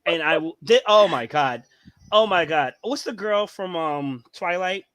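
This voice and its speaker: Animated, bright-voiced male co-host. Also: high-pitched, a little slow, dry recording. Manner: opinionated, animated rants with acted-out quotes. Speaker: podcast co-host. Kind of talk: casual chat.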